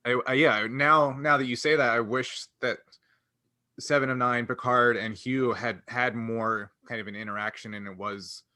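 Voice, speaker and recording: Bright-voiced man in a dry room. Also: mid-range voice, steady tone, moderate pace, dry recording. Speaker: podcast panelist. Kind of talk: casual fan discussion of a TV series.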